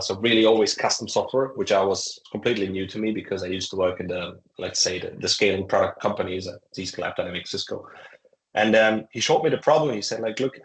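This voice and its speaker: Man, low voice, echoey room. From Croatia. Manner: halting, with frequent fillers and restarts. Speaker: startup founder and CEO. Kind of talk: conversational interview.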